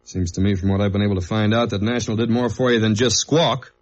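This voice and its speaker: A man acting as a steady, dogged government investigator and narrator in a mid-century radio detective drama. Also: on the low side, fast, natural voice. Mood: accusing. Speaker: lead actor and narrator of a radio detective serial. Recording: dull old radio recording with faint music.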